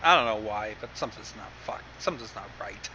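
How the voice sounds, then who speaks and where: A man's voice: on the low side, animated, quick pace, sharp tone, lo-fi sound. A man giving casual, sweary reaction commentary over a voice call, swinging between exasperated outbursts and muttered asides. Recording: noisy call connection.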